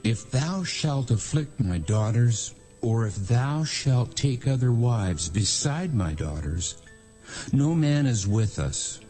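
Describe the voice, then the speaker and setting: A man playing stern biblical patriarch, mellow dark tone, very slow, solemn declamation, audio drama. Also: medium pitch, animated, faint music behind. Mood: solemn warning. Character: stern, possessive patriarch, a father-in-law accusing and warning. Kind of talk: dramatized scripture acting.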